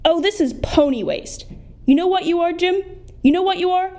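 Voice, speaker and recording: A woman's voice: very high voice, normal speed, a little mellow. A young woman playing an aggressive, bullying salesman in a comic radio play, repeating taunts. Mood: furious, taunting. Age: young adult. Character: furious bullying salesman Ricky, cursing in childish euphemisms.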